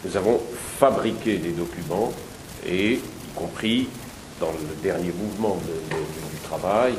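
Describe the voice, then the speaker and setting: A man's voice: low-pitched, a little slow. A man, a theatre director, speaking assertively about his company's work. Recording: echoey room, hissy.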